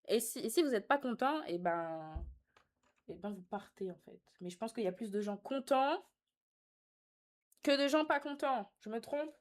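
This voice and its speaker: A woman's voice: on the high side, lively intonation, normal speed. Young woman, sassy live streamer. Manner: teasing, sassy, slangy. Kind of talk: casual live chat, reading and answering viewer comments.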